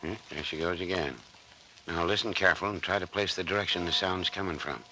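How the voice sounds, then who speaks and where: An actor playing a folksy older small-town doctor, bossy and reassuring, with rural turns of phrase in old-time radio drama style. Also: male voice, low voice, steady tone, a little fast, bright, forceful tone. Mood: puzzled. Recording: noisy vintage radio recording.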